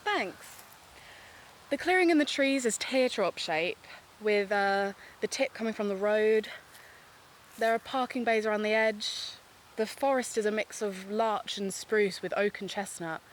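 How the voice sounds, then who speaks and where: An actress plays a chatty woman amateur investigator in an audio drama. Her voice is of average female pitch, playful and inquisitive. A faint ambient noise sits behind it. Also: measured pace, close to an everyday voice. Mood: descriptive. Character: chatty, curious, playful woman, amateur true-crime podcaster. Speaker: amateur podcaster.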